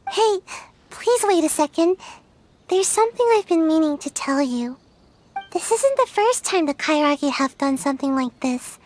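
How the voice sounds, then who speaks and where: A woman voicing a sleepy, lazy young girl ninja in a video game, talking in a drowsy, soft, unhurried way. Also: high-pitched, animated. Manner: drowsy, slow and soft-spoken.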